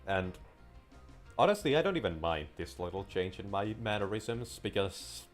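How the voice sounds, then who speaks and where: A man's voice: fairly low pitch, sing-song, moderate pace, faint music behind. A man whose first language is Finnish, rambling casually while commenting on a game he is playing, drifting into tangents. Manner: rambling, wanders into tangents and restarts sentences. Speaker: gameplay commentator. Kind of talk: casual let's-play commentary.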